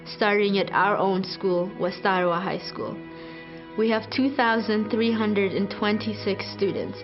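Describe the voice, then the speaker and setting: A teenage girl from Ethiopia, a student, narrating a scripted video in an earnest, measured way. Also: medium pitch, steady tone. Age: teens. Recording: muffled, over guitar music.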